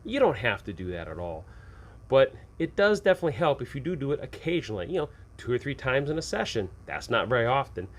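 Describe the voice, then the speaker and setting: A man's voice: mid-range voice, big pitch swings, fast talker. A man hosting an advice show, talking through tips and examples in an informal, rambling, conversational way. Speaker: host of a tabletop game-mastering advice show. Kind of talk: conversational instructional talk, explaining with examples. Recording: clearly audible background noise.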